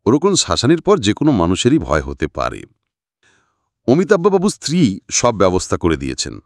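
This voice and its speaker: A man narrating a scripted story as an audiobook reader, dramatic and expressive. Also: on the low side, normal speed, no echo, studio quality.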